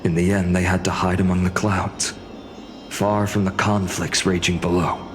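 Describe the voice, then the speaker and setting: A man voicing a thoughtful, scholarly young adventurer in a video game cutscene, speaking in a measured, formal, explanatory way. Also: sits low, little pitch movement, background noise, natural voice. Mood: informative. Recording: prominent background music under the voice.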